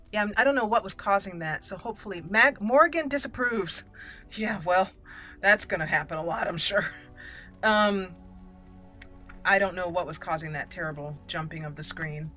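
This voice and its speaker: A woman hosting a roleplay video game playthrough, moving between deliberate in-character lines and casual commentary. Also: medium pitch, expressive, bright, forceful tone, soft background music. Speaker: gaming video host.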